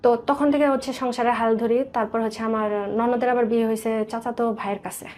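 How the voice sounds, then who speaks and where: A Bangladeshi woman shares her personal story as an interview guest. Her voice sits a little high. She speaks earnestly and in detail, returning to points to repeat them. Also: fairly even intonation, a little fast, a little mellow, a little hiss or hum. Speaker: guest telling life story.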